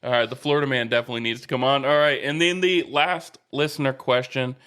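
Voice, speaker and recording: A man's voice: medium pitch, steady tone, fast talker, a bit bright, clean tone. A man co-hosting a podcast, reading listener emails and teasing his co-host in casual chat. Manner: easygoing, teasing.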